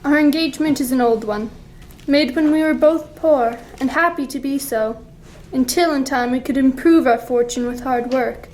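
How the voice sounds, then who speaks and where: Period radio play: female actor as principled, wounded young sweetheart, high-pitched, earnest, slight background noise. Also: darkish tone, lightly acted, low-quality recording. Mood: sad resolve. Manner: earnest, formal, deliberate. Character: sorrowful, principled young fiancée releasing her betrothed.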